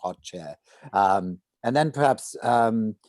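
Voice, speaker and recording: A man's voice: lowish voice, expressive, slow, darkish tone, no room sound. A man in a casual planning discussion among actors, thinking aloud with repeated, hesitant phrases. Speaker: voice actor at a table read.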